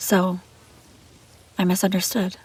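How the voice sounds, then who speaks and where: A woman's voice: on the low side, monotone, a little slow, a little mellow, breathy edge, no room sound. A woman playing an obsessive, scheming young babysitter in an intimate audio roleplay, pleading and defensive. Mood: hurt, hushed. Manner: pleading, defensive, hesitant then insistent. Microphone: close, dry microphone.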